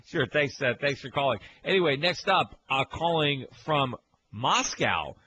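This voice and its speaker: A man hosting a call-in radio show from a home studio, talking in a quick, chatty way with many fillers and repeats. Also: mid-range voice, expressive, background noise. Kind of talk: casual call-in talk radio.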